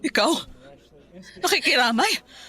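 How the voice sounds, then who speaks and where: A woman's voice: high-pitched, animated, slow pace, pushed and bright, heavily acted. An actress playing a grieving, bitter middle-aged mother in a Filipino radio drama, tearful and accusing. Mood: furious, accusing. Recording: music bed under the voice.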